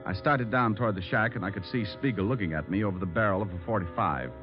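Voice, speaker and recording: A man plays a cool American private eye in vintage radio drama. His voice is low, dry and wry, on a dull old recording with music about it. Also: quick pace, natural voice. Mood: cool, steady. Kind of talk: 1940s-50s radio detective acting.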